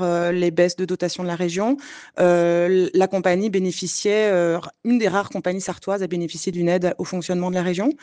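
A woman's voice: lowish voice, darkish tone, no room sound. Woman, choreographer, from Le Mans, earnest, hesitant fillers, dry close sound. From Le Mans, France. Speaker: choreographer, company director. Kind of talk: debate testimony.

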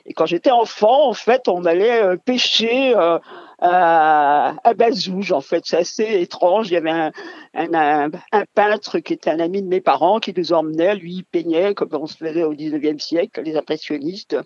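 Older woman, halting and rambling. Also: lowish voice, a little mellow, no echo, lo-fi sound. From France. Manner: halting, rambling, many fillers. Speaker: mill owner, radio guest. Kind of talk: radio interview.

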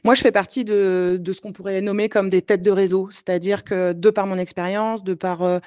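A woman's voice: medium pitch, level tone, fairly quick, darkish tone, clean tone, no echo. A woman from Le Mans, a choreographer and company director, speaking earnestly in a debate with many hesitations. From Le Mans, France. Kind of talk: debate testimony.

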